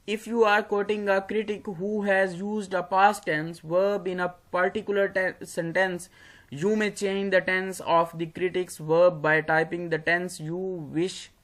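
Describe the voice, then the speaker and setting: Halting teacher with a Pakistani accent. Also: mid-range voice, little pitch movement, fairly bright tone. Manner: halting, repeats phrases. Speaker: English composition teacher. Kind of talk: lecture, reading slides aloud and explaining to students.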